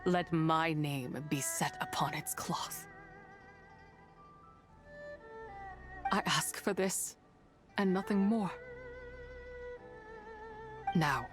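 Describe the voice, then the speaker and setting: An actress voicing a solemn female adeptal spirit, a remnant of wrath, speaking formally in a dramatic game scene. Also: low-pitched. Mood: solemn resignation. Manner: formal, measured, archaic phrasing. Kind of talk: dramatic scripted game voice acting.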